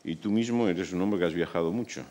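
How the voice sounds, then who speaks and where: A man hosting a festival press breakfast, introducing guests and asking measured, formal questions. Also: low-pitched, dark tone. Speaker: festival interviewer.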